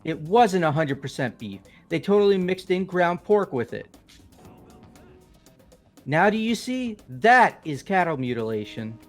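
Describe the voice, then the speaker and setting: A man's voice: on the high side, big pitch swings, a little slow, faint music behind. A man voicing a ranting, conspiracy-minded young man in a fan-voiced game, theatrical and indignant. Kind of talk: fan voice-over of a visual novel game.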